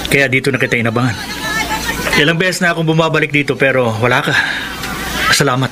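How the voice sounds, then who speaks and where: A man's voice: mid-range voice, fast talker, close to an everyday voice. A Filipino actor playing a menacing, insistent ex-lover back to claim his child in a radio drama. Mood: smug, taunting. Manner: threatening, insistent. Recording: noisy, busy crowd behind.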